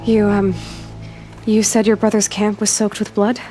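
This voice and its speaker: A woman plays a young outcast huntress in a video game. She speaks at a middle pitch, direct and measured, guarded yet compassionate. Faint music sits behind her. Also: level tone, dark tone, close to an everyday voice. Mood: direct, concerned. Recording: music under the voice.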